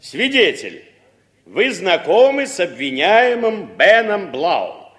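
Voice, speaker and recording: Slow, high-voiced prosecutor in echoing court. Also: male voice, sing-song, a bit bright, full character voice. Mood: formal, probing. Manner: formal, deliberate. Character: formal, probing male prosecutor in court. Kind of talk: Soviet 1960s radio drama acting. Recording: reverberant courtroom-style radio recording.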